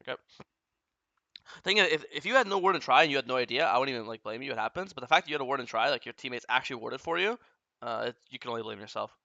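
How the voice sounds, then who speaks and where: A man's voice: medium pitch, expressive, creaky, pushed and bright, dry recording, dull audio. A young man coaching a player through a game replay, talking bluntly and often swearing as he explains. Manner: blunt, profane, rapid explaining.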